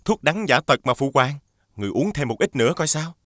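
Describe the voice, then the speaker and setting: A man playing a scheming, mocking, greedy elder prince in an animated fairy tale. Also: fairly high pitch, brisk, dry recording, studio quality. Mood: solicitous.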